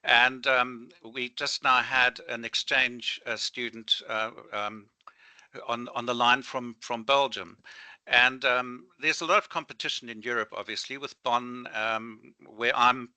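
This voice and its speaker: A man's voice: mid-range voice, steady tone, measured pace, sharp tone, smooth, no room sound. A man from South Africa, an alumnus on a video call, asking a question in a rambling way with many fillers. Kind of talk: casual remarks on a video call. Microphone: home computer setup on a video call.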